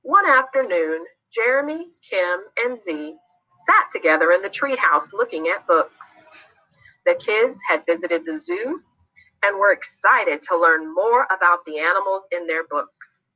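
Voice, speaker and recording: A woman's voice: on the low side, animated, a little slow, pushed and bright, lo-fi sound. A woman narrating a children's read-along story expressively, putting guiding questions to young listeners.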